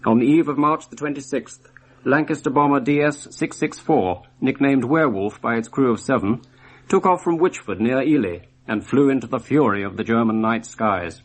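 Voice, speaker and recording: A man's voice: medium pitch, moderate pace, rough recording. A man reading scripted narration as the narrator of a documentary.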